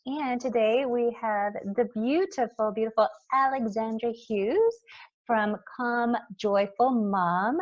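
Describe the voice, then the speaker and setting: A Canadian woman hosting a podcast in a casual, excitable, slangy way, on slightly dull audio. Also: a little high. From Montreal, Canada. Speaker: podcast host. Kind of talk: casual chatty podcast interview.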